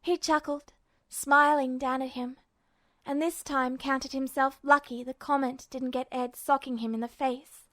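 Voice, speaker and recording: A woman reading fiction aloud as an expressive, scripted audiobook narration. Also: high voice, unhurried, dry recording. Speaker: fan fiction audiobook reader.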